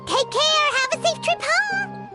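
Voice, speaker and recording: A tiny bubbly fairy sidekick from a video game. The voice is extremely high and squeaky, swinging about with childlike excitement and exclamations. Loud music plays behind it. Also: female voice, takes it slowly, exaggerated voice. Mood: excited. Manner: perky, exclamatory.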